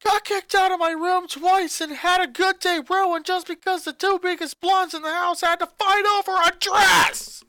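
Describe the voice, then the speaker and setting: A man's voice: extremely high pitch, fairly even intonation, moderate pace, few pauses, dry recording, clean studio sound, exaggerated voice. A man voicing an angry eleven-year-old boy who rants and accuses his sisters in a fanfic reading. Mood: furious. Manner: ranting, accusing, voice rising to shouts.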